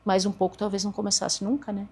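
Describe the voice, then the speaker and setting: A woman's voice: medium pitch, little pitch movement, fast, dark tone, husky. A woman in her forties, a podcast guest earnestly telling her own story and acting out past conversations. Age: 40s. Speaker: podcast guest, therapist and speaker.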